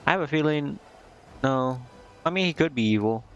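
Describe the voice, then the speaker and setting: A man's voice: mid-range voice, animated, normal speed, fairly bright tone, dull audio. A man giving casual live gameplay commentary, muttering and thinking aloud with hesitation. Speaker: game streamer. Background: game music.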